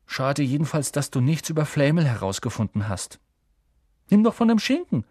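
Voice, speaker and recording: A man voicing a blunt young schoolboy in a storybook reading, a loyal best friend who grumbles and gets excited quickly. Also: on the high side, slightly rough, dry recording, studio quality, voice pushed up. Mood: blunt, chatty.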